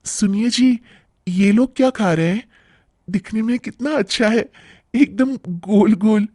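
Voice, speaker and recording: A man's voice: sits high, measured pace, a little mellow, no room sound, studio quality, voice pushed up. A man voicing a sweet, whiny, naively greedy young wife in a cartoon story, coaxing and drawing out the words. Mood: shy, curious. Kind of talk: animated Hindi moral-story cartoon acting.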